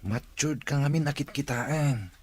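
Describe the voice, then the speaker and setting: Flattering young cousin. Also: male voice, medium pitch, a little slow. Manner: smooth, flattering, coaxing. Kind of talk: radio drama acting.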